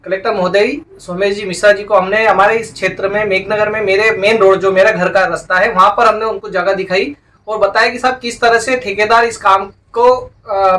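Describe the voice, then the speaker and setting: A man giving an unscripted statement as a local resident, explaining haltingly and repeating himself. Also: high voice, fairly even intonation, sharp tone. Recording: distant mic field recording.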